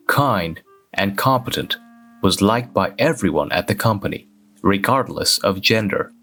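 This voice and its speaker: A male actor as a reserved office worker in a manga dub, speaking low and very slowly. He is socially awkward and understated, telling his own story in a measured deadpan over a music bed. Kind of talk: scripted first-person narration in a manga dub.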